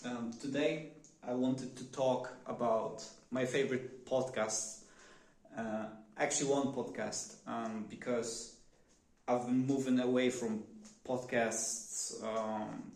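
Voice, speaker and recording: Slow, hesitant man with a Polish accent. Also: mid-range voice, level tone, a little mellow, reverberant, poor audio. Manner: hesitant, many fillers and restarts. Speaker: vlogger. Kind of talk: unscripted one-take monologue. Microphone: phone.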